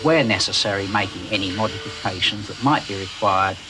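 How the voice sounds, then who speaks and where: A middle-aged man explaining his engineering work for a documentary in measured, formal wording. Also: on the low side, music in the background. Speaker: theatre engineer. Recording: clearly noisy workplace recording.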